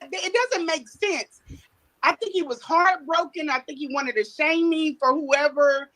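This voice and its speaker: Woman, 40s, high and bright, blunt and defensive, crude talk. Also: a little slow, poor audio. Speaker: interviewee in a played clip. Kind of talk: blunt personal account.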